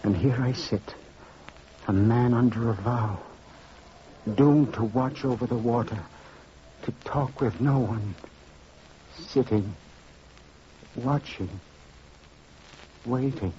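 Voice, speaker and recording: Actor as haunted grieving man, slow solemn halting delivery, radio play, muffled lo-fi recording. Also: medium pitch, warm tone, close to an everyday voice. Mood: resigned. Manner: halting, solemn, brooding confession. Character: grieving guilt-haunted man doomed to a lonely vow. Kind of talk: old-time radio drama acting. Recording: dull lo-fi old radio, faint noise.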